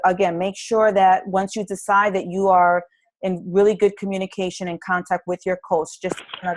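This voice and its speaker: A woman leading a live conference-call training for new fitness business coaches, talking earnestly and encouragingly with frequent ums and restarts. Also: lowish voice.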